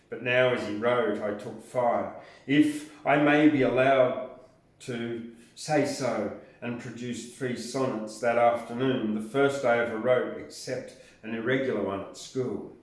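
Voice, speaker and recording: An older man reading an introduction aloud in a deliberate, measured manner. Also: medium pitch. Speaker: presenter. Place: small room indoors. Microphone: camera a short distance away. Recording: very echoey room.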